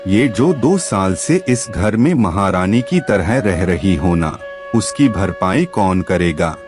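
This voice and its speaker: A man's voice: deep voice, expressive, slow. A man voicing a domineering, taunting older mother-in-law in a storybook family drama, with music behind. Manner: taunting, haughty.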